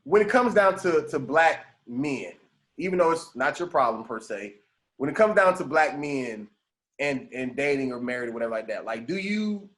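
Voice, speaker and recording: A man in his thirties hosting a casual podcast interview, laughing often and chiming in with quick agreements. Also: mid-range voice, expressive, a bit bright. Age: 30s. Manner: laughs often, repeats "right, right". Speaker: podcast host.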